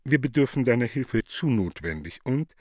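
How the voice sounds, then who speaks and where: A man's voice: medium pitch, sing-song, moderate pace, a little mellow, no room sound, clean studio sound. A man playing an affected, haughty, coaxing woman in a theatrical radio play, mannered and gushing. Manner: mannered and gushing, with French interjections.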